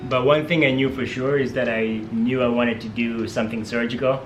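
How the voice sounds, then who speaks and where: A man's voice: medium pitch, fairly even intonation, fairly quick, echoey room, over music, rough recording. A young man from Tanzania with a Tanzanian accent and a Swahili mother tongue, a medical student chatting eagerly with many fillers as an interview guest. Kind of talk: casual interview.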